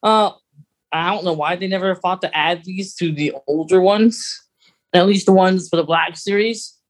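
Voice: medium pitch, moderate pace. A podcast host talking through fan news in a casual, conversational chat.

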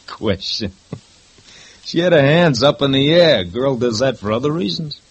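An actor playing a mocking, domineering husband in a 1950s radio drama, talking with a sarcastic edge. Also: male voice, mid-range voice, lively intonation, takes it slowly, breathy edge, dull audio, full character voice. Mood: sneering. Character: sneering, bullying husband and witness.

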